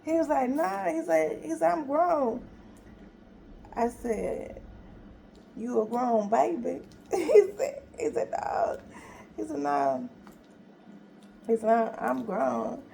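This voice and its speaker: Talkative woman retelling a story, high voice with some gravel, faint hiss behind her. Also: slow, long pauses. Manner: animated retelling, acts out both sides with quoted dialogue; talkative, laughing, exasperated. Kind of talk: casual storytime vlog.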